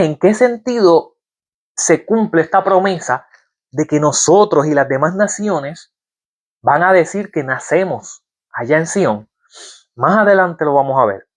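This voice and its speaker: A man giving a Bible-teaching sermon, reading verses aloud and asking his listeners rhetorical questions. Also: a little high. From Puerto Rico. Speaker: preacher.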